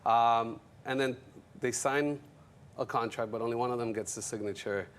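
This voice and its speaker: A man's voice: mid-range voice, fairly even intonation, normal speed, a bit bright, slight background noise. A man talking casually as an interview guest, easygoing and telling stories in a conversational way. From Colombian background. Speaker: guest, music executive and show creator. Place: TV studio.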